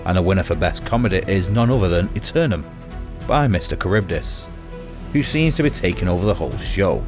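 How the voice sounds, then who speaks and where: A man's voice: low-pitched, animated, brisk, over music. A man hosting an awards show with a flustered, stammering comic delivery. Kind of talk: comic awards presenting with a staged phone call.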